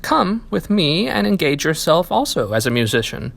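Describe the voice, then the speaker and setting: A man's voice: fairly high pitch, sing-song, moderate pace, gravelly, fairly bright tone, pitched above the performer's own voice, exaggerated voice. A man voicing a big, friendly, confident donkey in a storybook, hearty and persuasive. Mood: hearty, inviting. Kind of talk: storybook character reading.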